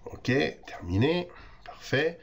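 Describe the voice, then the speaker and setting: A man with a French accent, a bit stuffy from a cold, talking through a photo editing tutorial in a relaxed way and often pausing to think aloud. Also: fairly high pitch, a little hiss or hum. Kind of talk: screen-recorded software tutorial.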